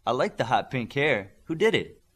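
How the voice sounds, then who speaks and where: A man's voice: mid-range voice, sing-song, normal speed, fairly bright tone. A man voicing a sweet, competitive young superheroine with a French accent in an audiobook. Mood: friendly, curious.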